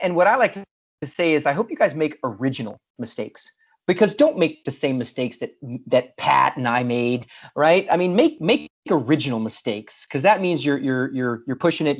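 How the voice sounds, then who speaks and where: A man's voice: medium pitch, dull audio. A middle-aged man from California, giving a friendly, casual presentation as a guest speaker and often restarting his sentences. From California, USA. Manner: friendly, with many restarts and repeated words. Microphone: video call.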